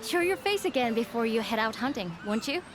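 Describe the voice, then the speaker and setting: An actress playing a gentle, earnest, caring young townswoman in a video game, polite and solicitous. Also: a little high, lively intonation, fairly quick, over music, lightly acted. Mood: gentle encouragement. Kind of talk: video game cutscene acting.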